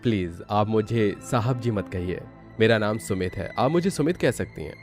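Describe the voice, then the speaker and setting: A man's voice: fairly low pitch, animated, moderate pace, a little mellow, faint music behind. A man voicing a rich, sincere young college student in a cartoon story, polite and earnest. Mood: friendly. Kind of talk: Hindi cartoon story acting.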